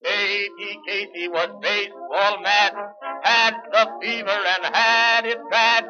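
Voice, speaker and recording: A lo-fi, muffled recording of a woman singing an old-time song. The delivery is slow, at a medium pitch, with brass music. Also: fairly even intonation.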